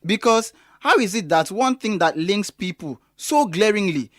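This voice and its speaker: A woman's voice: medium pitch, expressive, normal speed, dry recording, studio quality, natural voice. An actress with a Nigerian accent playing a scornful, indignant activist woman in a conversational radio drama, emphatic and sardonic.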